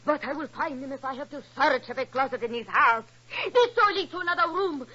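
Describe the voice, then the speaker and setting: A woman's voice: sits high, lively intonation, quick pace, bright, forceful tone. An actress playing a fierce, fanatical young woman with a melodramatic, imperious manner in a 1940s radio drama. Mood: urgent, demanding. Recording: muffled vintage radio recording.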